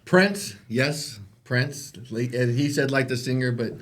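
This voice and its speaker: A man's voice: medium pitch, a little slow, fairly bright tone. A man co-hosting a podcast in casual chat, rambling and trailing off through restarts. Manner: rambling, many fillers and restarts. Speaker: podcast co-host.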